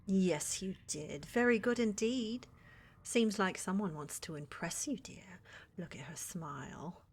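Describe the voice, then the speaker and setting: A woman's voice: medium pitch, unhurried, husky. A woman playing a flirty, controlling witch mistress in a medieval fantasy ASMR roleplay, coaxing and genteel in her manner. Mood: teasing. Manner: coaxing, genteel, calls others dear.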